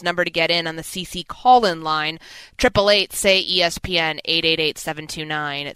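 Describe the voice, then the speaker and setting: A woman's voice: medium pitch, sharp tone, smooth, no room sound, poor audio. An American woman hosting a sports talk radio show, giving commentary.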